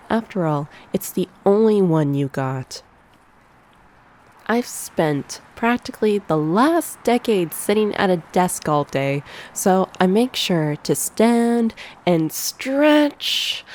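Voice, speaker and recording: A woman playing a teasing, caring succubus teacher in a soft ASMR roleplay on a close binaural microphone. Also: mid-range voice. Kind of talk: ASMR roleplay, one-sided conversation.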